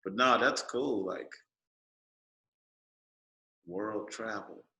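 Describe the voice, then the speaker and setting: An American man with a very bright, punchy voice hosts a casual podcast. He talks in slangy, playful phrases, and the room adds noticeable echo around him. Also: on the low side, drawn-out words. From born in Washington State, raised in Germany. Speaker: podcast host. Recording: roomy, echoey podcast room.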